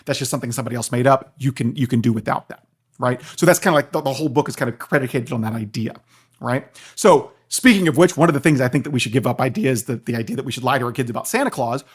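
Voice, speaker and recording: A man talking as a guest expert on a podcast, explaining things in an animated storytelling way and often checking in with the listener. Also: mid-range voice, racing, husky. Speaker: guest, philosophy professor and author.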